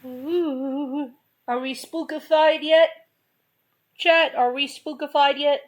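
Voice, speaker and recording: Girl co-commenting on a reaction, talks real slow, higher voice, slangy and casual. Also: steady tone. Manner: informal, slangy. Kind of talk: casual chat.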